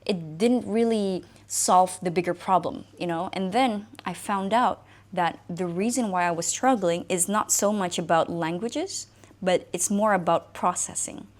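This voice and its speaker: A woman's voice: fairly low pitch, measured pace. A woman in her thirties from Indonesia, whose first language is Indonesian, speaking as an English coach who tells her own story to the camera in a reflective, conversational way. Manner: conversational, reflective, frequent "you know" and "like". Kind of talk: personal talk to camera.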